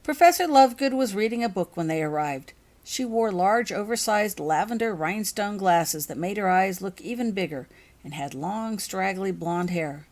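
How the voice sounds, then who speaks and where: A woman's voice: mid-range voice, expressive, normal speed, darkish tone. A woman reading her own fantasy fiction aloud as a scripted audiobook narration.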